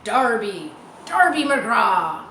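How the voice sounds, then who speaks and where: A woman voicing an eerie, taunting pirate ghost in a storybook reading, calling out in a drawn-out sing-song. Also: fairly high pitch, big pitch swings, sharp tone, voice pushed up, heavily acted. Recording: echoey room with faint background noise.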